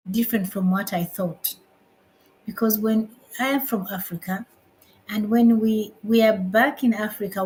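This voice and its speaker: Slow-talking woman from Uganda. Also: medium pitch, a little mellow, a little hiss or hum, poor audio. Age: 50s. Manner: unhurried, repeats phrases, halting restarts. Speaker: livestream host. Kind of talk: casual live-stream talk.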